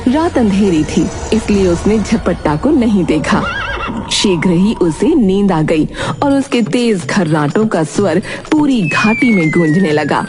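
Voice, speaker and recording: A woman's voice: mid-range voice, expressive, a little slow. Woman narrating a children's story over music. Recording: loud music behind.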